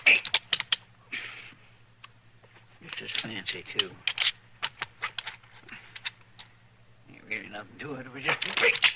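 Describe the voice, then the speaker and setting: A man's voice: fairly low pitch, lively intonation, fast, very noisy. A man playing a stubborn, bumbling deputy sidekick in a radio western, with a twangy rural drawl and a folksy, hemming way of talking. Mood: muttering, boastful. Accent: rural American Western drawl. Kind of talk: 1950s radio western acting. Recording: old radio recording.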